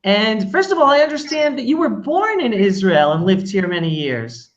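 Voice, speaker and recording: A woman hosting an interview, talking in a lively, friendly way and joking about losing her place. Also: medium pitch, moderate pace. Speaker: interviewer host. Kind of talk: casual interview. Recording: dull lo-fi sound.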